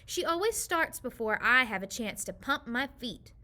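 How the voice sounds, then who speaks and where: A woman's voice: fairly high pitch, very animated, normal speed, bright, forceful tone. A sulky little girl, as a woman reads her. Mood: complaining. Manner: whiny, indignant storybook dialogue. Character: young girl, sulky and complaining.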